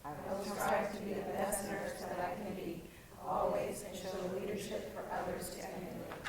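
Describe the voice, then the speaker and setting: A woman reads out a solemn graduation pledge, slow and measured, heard from far away in a large hall. Her voice rings with long echo, and a big crowd murmurs behind her. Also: medium pitch, flat delivery. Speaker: ceremony leader. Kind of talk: reciting a pledge.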